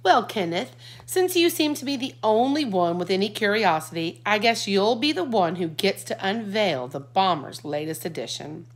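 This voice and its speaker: A woman's voice: mid-range voice, lively intonation, normal speed. A woman doing a hammy, playful dad. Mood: teasing. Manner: hammy salesman patter. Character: cheerful showy father, playful man.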